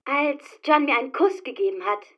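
An actress playing an excitable, pleading eight-year-old girl in a radio drama, childlike and insistent. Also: high voice, normal speed, muffled recording. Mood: proud.

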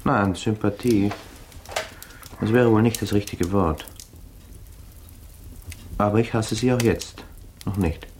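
An actor playing a cultivated young student in a German radio drama, quietly mocking and menacing, speaking formally and with deliberate insinuation. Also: male voice, on the low side. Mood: coolly menacing. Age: young adult. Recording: faintly noisy 1980s radio drama recording.

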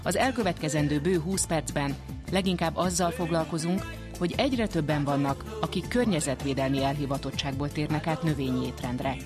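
Woman, scripted radio narration, presenter, clear music bed. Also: low-pitched. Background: music behind the voice.

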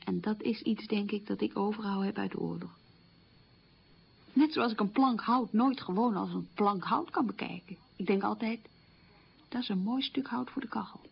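An actress playing an opinionated, weary middle-aged schoolteacher who confides frankly in a conversational radio monologue. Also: female voice, mid-range voice, normal speed, gravelly, breathy edge, highs cut off. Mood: confiding. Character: opinionated, weary schoolteacher mother marked by the war.

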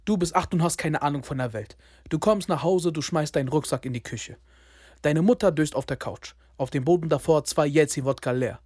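A man's voice: on the high side, brisk, darkish tone, breathy edge, no echo, studio quality. A young man narrating a radio play in the second person, terse and sardonic, often breaking off to restart the scene.

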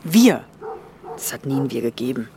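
A woman's voice: rumbling low, sing-song, normal speed, noisy background. An actress playing a distrustful, wounded young German woman in a radio drama, blunt and emotional. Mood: bitter, guarded.

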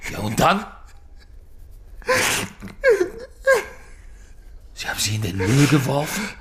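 An actor playing a probing, brooding police detective in a radio drama, talking in short, insistent lines. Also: male voice, medium pitch, sing-song, fast talker, background noise, natural voice. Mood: pressing, accusing. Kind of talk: naturalistic radio drama acting.

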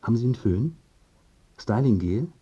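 An actor playing a brisk, condescending media consultant in a radio drama, giving clipped instructions. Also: male voice, medium pitch, expressive, warm tone, husky, highs cut off. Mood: brisk, patronising. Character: brisk, patronising male media consultant coaching a client.

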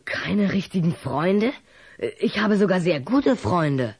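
Cheeky, guarded schoolboy for a children's radio play: highish, rough-edged husky voice. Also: male voice, very animated, slow, heavily acted. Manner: guarded, defiant. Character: wary, cheeky schoolboy who loves scary books. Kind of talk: audio-drama acting.

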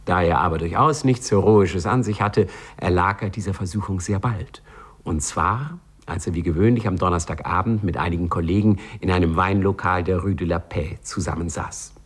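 A man narrating a 1950s radio play in a measured, ironically solemn manner, with a husky voice. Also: low-pitched.

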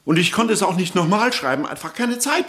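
An actor playing an earnest, troubled company boss in a radio crime drama, with an agitated manner. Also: male voice, high voice, animated, brisk, fairly bright tone. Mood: flustered, earnest.

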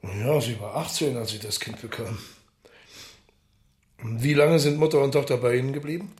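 An actor playing a pensive, sceptical police marshal in a radio crime drama, thoughtful and questioning. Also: male voice, mid-range voice, moderate pace, darkish tone, husky.

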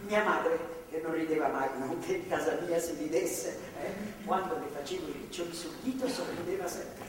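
An actress playing a devout, motherly older woman, a steadfast captor who speaks gently and earnestly in a stage drama. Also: sits low, moderate pace, close to an everyday voice. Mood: motherly, coaxing. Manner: earnest, soothing, firm. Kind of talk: theatrical stage drama acting. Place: theatre stage, far microphone. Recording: echoey theatre hall, distant, noisy.

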